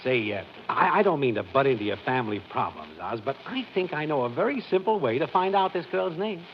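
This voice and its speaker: An American actor playing a wry, needling neighbour in a 1940s radio sitcom, folksy and pleased with himself. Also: male voice, fairly high pitch, lively intonation, fairly quick, close to an everyday voice. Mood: scheming. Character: wry, teasing, self-pleased male neighbour and pal. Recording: dull, noisy old radio recording.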